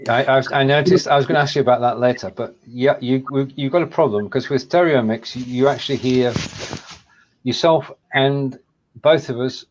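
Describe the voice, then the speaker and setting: A man's voice: medium pitch, moderate pace, highs cut off. A man with a Lancashire accent co-hosting a casual live-stream chat, playful and jokey, stumbling over his restarts. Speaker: co-host.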